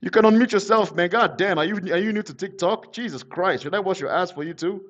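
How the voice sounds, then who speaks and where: A man's voice: high-pitched, fast. A man from Nigeria hosts a heated live discussion, exasperated and stumbling over repeated words. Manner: exasperated, stammers and repeats himself. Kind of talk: heated livestream debate. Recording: muffled lo-fi livestream audio.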